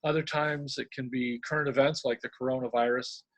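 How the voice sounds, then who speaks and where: A middle-aged man from Indiana, a humor columnist with a deadpan manner, sharing his work. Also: medium pitch, fairly quick. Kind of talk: reading a humorous diary-style column, then casual talk.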